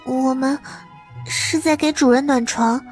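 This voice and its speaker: A woman's voice: sits high, fairly even intonation, unhurried, a little mellow, faint music behind. A woman playing a young female character in acted audiobook dialogue. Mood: timid, deferential. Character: young female servant or teasing female spirit.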